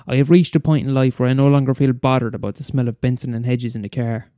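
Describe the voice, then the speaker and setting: A man's voice: medium pitch, flat delivery, quick pace, warm tone, no room sound, clean studio sound, natural voice. A man playing a bitter, weary middle-aged schoolteacher in a wry, wordy confessional story monologue. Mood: weary, sardonic. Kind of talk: first-person dramatic monologue.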